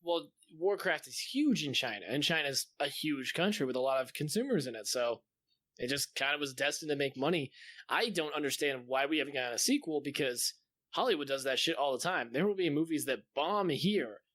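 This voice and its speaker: A man's voice: fairly high pitch, expressive, a little fast, fairly bright tone, dry recording. A man co-hosting a podcast in casual banter, blunt, joking and freely swearing. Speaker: podcast co-host.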